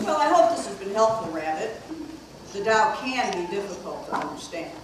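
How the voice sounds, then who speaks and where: A woman's voice: medium pitch, big pitch swings, moderate pace, natural voice. Woman as a serene sage, echoing room. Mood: serene, approving. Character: serene wise sage quoting scrolls. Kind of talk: amateur puppet play acting. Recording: very echoey, distant, background noise.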